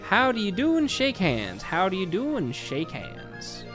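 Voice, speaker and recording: A man acts out a cheeky, excitable twin boy in a fantasy game. The voice is pushed high and loud, with a prim singsong lilt. Music plays behind it. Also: unhurried, full character voice. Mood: prim, playful. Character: cheeky, excitable twin boys speaking in nursery rhyme manners.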